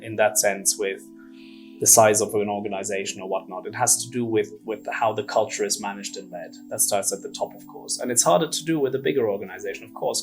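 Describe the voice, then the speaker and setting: A man who grew up in Germany and Finland, speaking as an articulate interview guest who explains things conversationally and with emphatic repetition. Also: fairly low pitch. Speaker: podcast guest, entrepreneur.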